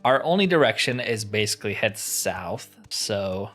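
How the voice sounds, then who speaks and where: A man's voice: fairly low pitch, animated, a little slow, fairly bright tone, faint music behind. A man giving casual let's play commentary, chatty and informal as he thinks aloud. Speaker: gaming channel host.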